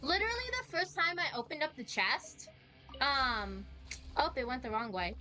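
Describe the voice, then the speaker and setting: A young woman chatting casually in a rambling, excitable, slangy way as she streams a game. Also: high-pitched, normal speed. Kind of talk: casual live-stream chat while playing. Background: game music.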